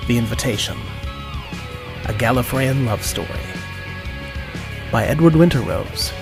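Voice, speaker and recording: A man's voice: mid-range voice, animated, a little slow, vocal fry. A man reading scripted opening credits as the announcer of an audio drama. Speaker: title announcer. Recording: guitar music under the voice. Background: plucked guitar music.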